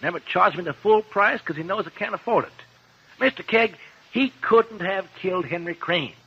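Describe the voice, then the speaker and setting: An actor in a 1950s radio drama playing an earnest, loyal young man with an eager, halting, naive way of talking. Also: sits high, bright, forceful tone, husky, heavily acted. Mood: earnest, pleading. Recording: muffled vintage 1950s radio recording.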